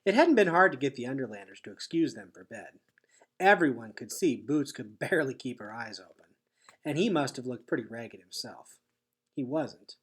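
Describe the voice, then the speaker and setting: A man with a husky voice reading a children's novel aloud as expressive storybook narration. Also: medium pitch, moderate pace. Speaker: teacher reading a chapter aloud.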